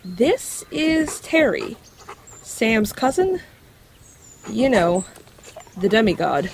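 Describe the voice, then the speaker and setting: A woman's voice: fairly high pitch, very animated, drawn-out words, a little hiss or hum, full character voice. A woman playing an earnest, responsible friend in an audio drama, speaking in a direct, coaxing way. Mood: pleading.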